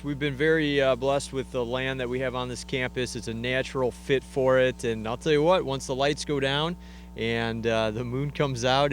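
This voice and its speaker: A man giving a relaxed interview outdoors on a trail. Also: mid-range voice, a little hiss or hum. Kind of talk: casual interview.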